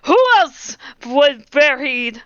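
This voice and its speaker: A woman's voice: sits high, slow pace, a bit bright, dry recording. A woman voicing a boastful, grandiose female lightning caster in a tabletop game, on slightly muffled audio. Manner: grandiose, self-important.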